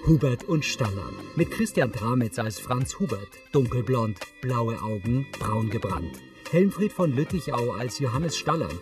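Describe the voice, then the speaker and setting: A man's voice: mid-range voice, animated, a little slow, over music. A man reading scripted audio description in a neutral, factual manner. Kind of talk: scripted narration.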